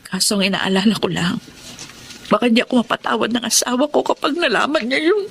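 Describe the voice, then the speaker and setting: A woman's voice: fairly high pitch, expressive, brisk, vocal fry, a little hiss or hum. A woman acting an earnest, guilt-torn young wife and mother in a Filipino radio drama, heartfelt and emotive. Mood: worried relief.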